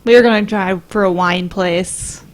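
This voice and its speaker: Woman, medium-pitched voice, casual chat, podcast co-host. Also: steady tone, normal speed. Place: small room, round table.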